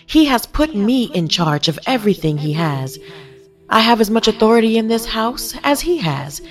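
A woman's voice: medium pitch, lightly acted. A woman voicing a principled young male servant, earnest and firm, in an audio Bible story.

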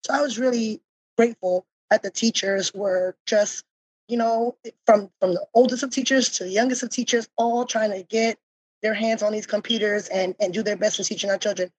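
A mother on a discussion panel talks with earnest gratitude, pausing often to find her words. Her voice is slightly breathy, and the sound is dry and close, with no room around it. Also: mid-range voice, steady tone, no room sound, poor audio. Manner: earnest, grateful, thinks aloud with pauses. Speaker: parent panelist.

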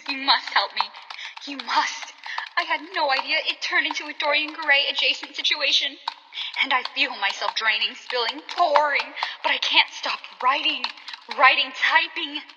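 A woman's voice: high-pitched, natural voice. A woman playing a desperate writer in an audio drama, leaving a melodramatic, pleading message. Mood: pleading, draining. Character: desperate female writer being drained into her story. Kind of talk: dramatic monologue left as a phone message. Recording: muffled, very noisy, long reverb.

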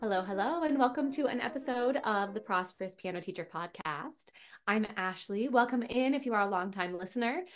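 A woman's voice: mid-range voice, lively intonation, brisk, soft background music. A woman hosting her own podcast, chatty and upbeat with many fillers and restarts, a little nasal from a cold. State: getting over a cold, a bit nasal. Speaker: podcast host and business coach for piano teachers. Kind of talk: casual solo podcast talk.

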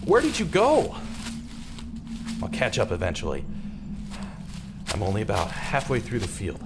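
An actor playing a distraught, self-blaming man who narrates his own story in a halting, repetitive, dramatic way, as in an audio drama. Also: on the low side, huge pitch swings, background noise, exaggerated voice. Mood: frustrated, weary. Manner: dramatic, halting, repeats himself. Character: distraught, self-blaming male radio man turned amateur pursuer. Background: music.

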